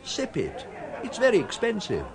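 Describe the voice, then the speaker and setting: A man's voice: high-pitched, huge pitch swings, moderate pace, gravelly, a crowd in the background, exaggerated voice. An actor playing a dry, cautious, thrifty English clerk in his sixties in a radio play, speaking in a fussy, old-fashioned manner. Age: 60s.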